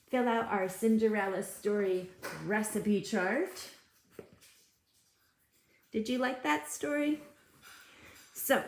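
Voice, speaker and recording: Slow-paced woman teacher in an echoey room. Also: medium pitch, darkish tone. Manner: talks to young children, questioning. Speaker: elementary school teacher. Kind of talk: children's read-aloud lesson.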